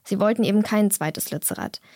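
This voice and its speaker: A woman's voice: medium pitch, expressive, fairly quick, dark tone, no echo. Blunt young woman, dry close sound. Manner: opinionated, blunt. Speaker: interview guest. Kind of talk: conversational interview answers.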